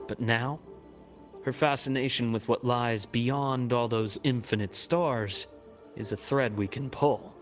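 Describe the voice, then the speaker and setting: A man voicing a composed, analytical investigator in a game, speaking in a measured, explanatory way. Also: medium pitch, fairly even intonation, soft background music, lightly acted. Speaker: game character. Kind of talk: game cutscene voice acting.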